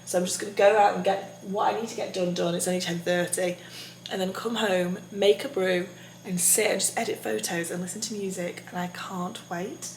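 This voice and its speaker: A young woman with a husky, raspy voice from tonsillitis, chatting upbeat to her vlog viewers with lots of ums. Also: mid-range voice, fairly even intonation, brisk, slight background noise. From England. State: recovering from tonsillitis. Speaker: vlogger. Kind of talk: casual vlog chat. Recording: echoey room.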